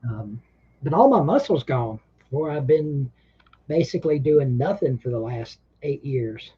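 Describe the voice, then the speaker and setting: A man's voice: medium pitch, expressive, unhurried, slightly rough, dull audio. A man hosting a casual livestream chat, rambling and laid-back, a bit short of breath. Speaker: livestream host.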